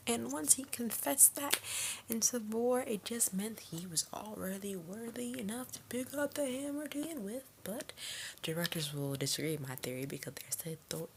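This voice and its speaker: Low-voiced woman reviewer, noisy recording. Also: creaky. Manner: excitable fan, acting out imagined character lines. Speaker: movie reviewer. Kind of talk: casual, rambling spoiler movie review. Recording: noticeable background noise.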